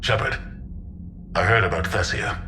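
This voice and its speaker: A man playing a seasoned, gruff older admiral with a blunt, frank manner in a video game. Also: fairly low pitch, moderate pace, music in the background, natural voice. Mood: frank, consoling. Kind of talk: dramatic video game acting.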